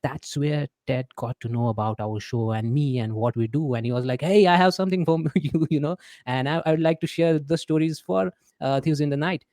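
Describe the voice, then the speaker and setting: A male podcast host introduces his guests in a chatty, meandering way, leaning on fillers as he goes. His voice is mellow and dark. It sounds dry and close, with no room sound. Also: on the high side, brisk, hardly any pauses, no room sound. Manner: chatty, rambling with many fillers. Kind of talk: casual interview chat.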